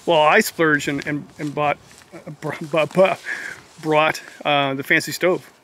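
A man's voice: a little high, fairly even intonation, a little slow, fairly bright tone, recorded from a distance, a little hiss or hum. A middle-aged man talking casually at an outdoor lakeside campsite, chatty and self-mocking, sometimes tripping over his words. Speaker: vlogger on a canoe trip. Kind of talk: casual camping vlog chat.

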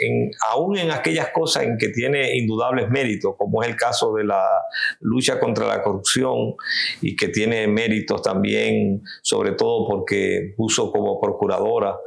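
A man's voice: gravelly, a bit bright, echoey room. A Dominican man in his fifties, speaking Spanish with a Dominican accent as a political guest in a seated interview, arguing emphatically in a living room. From Dominican Republic. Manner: emphatic, gesturing, rising in pitch when stressing points.

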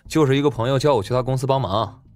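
A man's voice: medium pitch, darkish tone, soft background music, close to an everyday voice. A man playing a glib, chummy young casino worker in an audiobook drama, ingratiating and chatty. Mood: friendly, breezy. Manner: ingratiating, chatty, drawn-out particles. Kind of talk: Mandarin audiobook drama acting.

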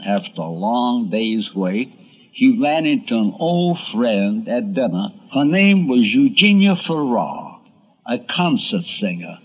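A man's voice: medium pitch, husky, lo-fi sound. An older man hosting a documentary, narrating from a script in a conversational storytelling way. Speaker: documentary host and radio columnist.

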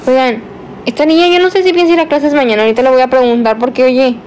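Young woman, nineteen, casual teasing livestream chat, slightly high voice, phone held close, faint air-conditioner hum. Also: steady tone, darkish tone. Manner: chatty, teasing, drawn-out words. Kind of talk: casual livestream chat, reading viewer comments. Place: small dim bedroom, indoors at night. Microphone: phone held close, selfie framing.

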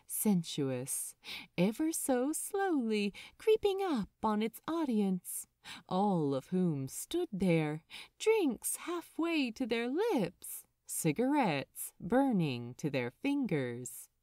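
A woman reading a story as an audiobook narrator, expressive, dramatic and measured. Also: medium pitch, no echo, studio quality.

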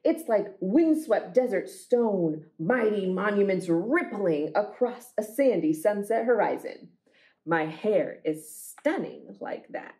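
A woman reading picture books aloud for a children's storytime, with deliberate, expressive phrasing. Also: medium pitch, low-quality recording.

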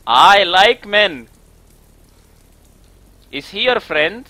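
A man with an Estonian accent improvising a campy, pleading singer character in halting broken English during a game stream. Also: high voice, animated, bright, forceful tone, no room sound, heavily acted. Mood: pleading, anxious. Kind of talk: improvised role-play while streaming a game.

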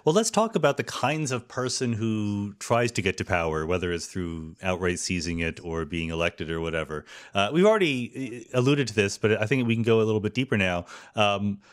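Low-voiced podcast host asking questions. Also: male voice, lively intonation, fairly quick, hardly any pauses. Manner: curious, poses questions casually. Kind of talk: conversational interview.

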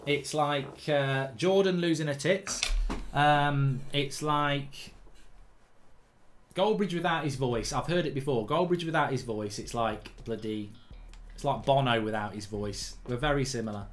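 A hoarse man in his thirties doing live, blunt, sweary commentary while streaming a game from a desk indoors. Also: mid-range voice, unhurried. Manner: blunt, sweary, rambling banter.

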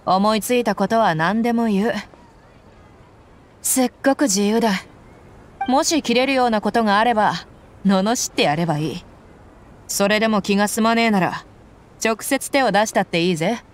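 An actress playing a brash, easygoing young mercenary woman in a video game, with rough, self-assured speech. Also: mid-range voice, moderate pace, long pauses, slight background noise, close to an everyday voice. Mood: casual, teasing. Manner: blunt, tomboyish rough speech.

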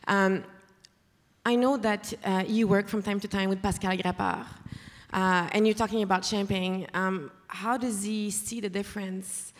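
A woman moderates a panel at a mid pitch, brisk and steering the talk between guests. Her questions carry a long reverb from the hall. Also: steady tone.

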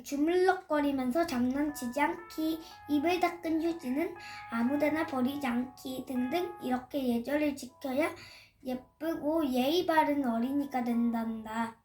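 An eight-year-old girl reciting a memorized children's story to listeners in a careful, deliberate way. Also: high-pitched, darkish tone. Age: child, 8 years old. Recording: roomy echo.

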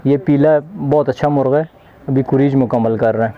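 A man's voice: mid-range voice, fairly quick. A young man from Pakistan, a poultry breeder, explaining his birds in a casual, matter-of-fact farm tour with pride in his stock. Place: outdoor poultry farm pens. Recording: muffled, noisy with clucking chickens.

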